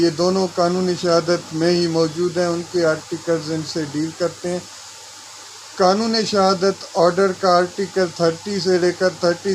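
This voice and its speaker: A man explains a legal lesson in a measured, deliberate way, sometimes restarting a phrase. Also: sits high, slight background noise, poor audio. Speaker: law lecturer.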